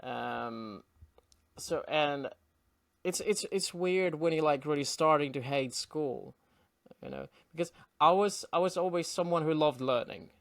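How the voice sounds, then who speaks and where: Gravelly-voiced Norwegian-native man. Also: on the high side, unhurried, dry recording, studio quality. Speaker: host. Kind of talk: casual conversation.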